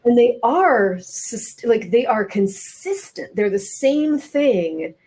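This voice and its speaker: A woman's voice: fairly high pitch, lively intonation, slow pace, a little mellow. Middle-aged American woman in literary conversation. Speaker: interviewer, novelist and teacher.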